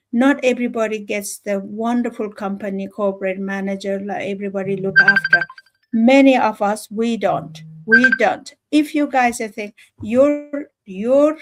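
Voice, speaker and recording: A woman from Nepal with a Nepali accent, giving an informal motivational talk in an earnest, emphatic, halting way, often repeating phrases. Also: medium pitch. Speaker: livestream speaker.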